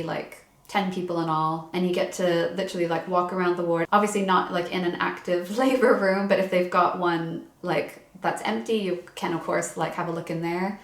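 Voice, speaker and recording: Young woman vlogger, chatty and casual with lots of fillers, low even voice, echoey room. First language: English. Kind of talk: casual chat.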